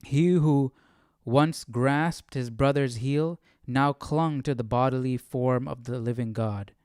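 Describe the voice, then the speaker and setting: Clear-voiced man thinking aloud, dry close sound. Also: mid-range voice, slow pace, darkish tone, no echo. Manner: informal, questioning, muttering to himself. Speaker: livestream Bible study host. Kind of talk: casual chat, thinking aloud.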